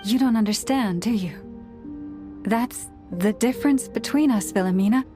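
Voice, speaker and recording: A woman voicing an earnest, solemn young priestess in a video game, speaking in a measured way over music. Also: fairly high pitch, natural voice. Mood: pained, earnest. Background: background music.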